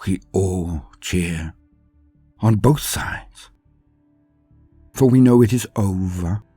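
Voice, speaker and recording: A man narrating a dramatic first-person war story as a grim, brooding space marine commander, grave and deliberate, with theatrical pauses. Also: sits low, warm tone, husky, no echo, soft background music. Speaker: lore channel narrator.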